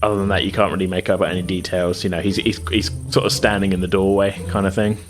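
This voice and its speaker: A man's voice: sits low, flat delivery, fast talker, soft background music. A man narrating as game master in a casual, meandering way, hedging his descriptions as he goes.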